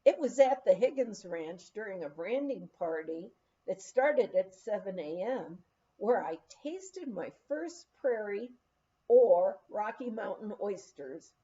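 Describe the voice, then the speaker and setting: Female memoir reader: soft-toned, unhurried, animated storytelling with dramatic pauses, dull recording. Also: medium pitch. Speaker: audiobook reader. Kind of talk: expressive memoir narration.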